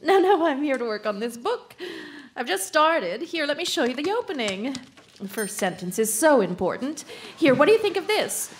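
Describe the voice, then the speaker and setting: A woman's voice: high-pitched, very animated, moderate pace, faint music behind. A woman playing a vain, bossy romance author and nagging wife in a live radio drama, theatrical and self-important. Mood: coy, flattered.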